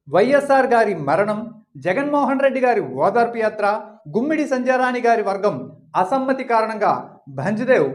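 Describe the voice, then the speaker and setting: Very high-pitched man, emphatic political commentary. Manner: emphatic, rhetorical questioning. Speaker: political commentator, online channel host.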